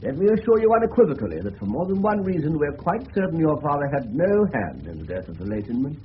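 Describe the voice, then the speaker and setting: An actor playing a brisk, genial colonel with an upper-class English accent in a 1970s radio drama, hearty and formal. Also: male voice, fairly high pitch, sing-song. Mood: businesslike. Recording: muffled, noisy old radio broadcast.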